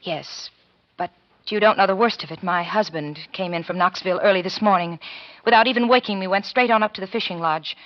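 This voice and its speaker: A refined, anxious wife played by an actress in a vintage radio play. She speaks at a medium pitch in halting, hesitant phrases. The old recording sounds dull and boxed in. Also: natural voice. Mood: anxious, confiding. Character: anxious, refined married woman with a secret. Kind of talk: 1940s radio drama acting.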